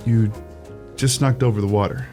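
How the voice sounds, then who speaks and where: A man's voice: lowish voice, normal speed, mellow, faint music behind, natural voice. An actor playing a teasing, proud, persistent male shop clerk in a radio romantic comedy, wry and mock-polite. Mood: casual, teasing. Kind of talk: old-time radio romantic comedy acting.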